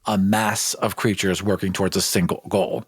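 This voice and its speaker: A man's voice: low voice, little pitch movement, normal speed, husky, studio quality. Casting: male podcast co-host, informal and conversational, reasons things out aloud, very little room echo. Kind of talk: casual chat, answering listener questions.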